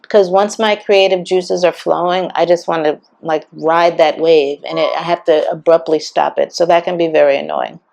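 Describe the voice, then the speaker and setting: A woman with a slightly raspy voice talking candidly and chattily in a casual personal vlog. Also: deep voice, steady tone, normal speed, few pauses, darkish tone. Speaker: vlogger.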